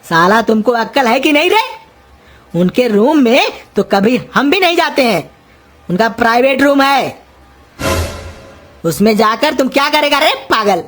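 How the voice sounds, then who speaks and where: A woman voicing a bossy, quick-tempered old uncle in a comedy cartoon, scolding and threatening. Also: a little high, big pitch swings, a bit bright, faint music behind, heavily acted. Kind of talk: Hindi cartoon comedy voice acting.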